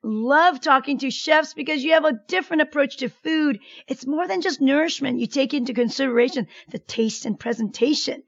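A woman with a high voice hosts a radio wellness show as a doctor. She speaks in a measured, informative way. The sound is dry and a little muffled. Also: vocal fry, no room sound. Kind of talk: radio interview.

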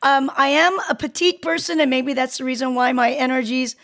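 High-voiced woman host from Korea. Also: brisk, creaky, a bit bright. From Seoul, South Korea. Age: late 50s. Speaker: show host interviewing a guest. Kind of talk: conversational interview.

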